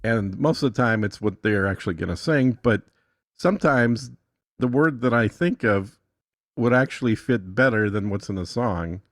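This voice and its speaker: A man with a fairly low voice explains things casually, step by step, as a guest walking through a tutorial. He thinks aloud in a matter-of-fact way, and the sound is close and free of room echo. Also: normal speed. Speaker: podcast guest giving a tutorial.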